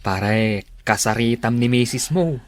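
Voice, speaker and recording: A man playing a husband's blunt, nosy, teasing pal in a Filipino radio drama, talking in an animated way. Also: mid-range voice, unhurried, natural voice. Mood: incredulous, teasing. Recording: loud music bed behind the voice.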